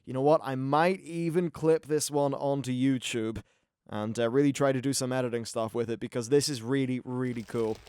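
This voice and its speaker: A man's voice: medium pitch, steady tone, moderate pace, mellow, clear, resonant tone, no room sound. A man giving casual, informal let's-play commentary on an online fantasy game, mildly sweary as he reacts to what unfolds. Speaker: gaming YouTuber.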